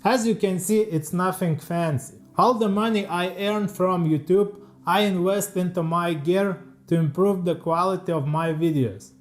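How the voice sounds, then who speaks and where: Man, Lithuanian accent, high-pitched voice, careful non-native English, scripted voice-over. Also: moderate pace, hardly any pauses. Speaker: leathercraft YouTuber. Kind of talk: scripted voice-over read from a teleprompter.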